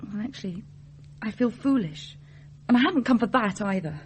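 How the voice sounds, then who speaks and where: A woman's voice: medium pitch, animated, moderate pace, slight background noise, natural voice. An actress playing an evasive, self-doubting young woman in a radio drama, hesitant and brittle, with an English accent. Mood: guarded. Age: young adult.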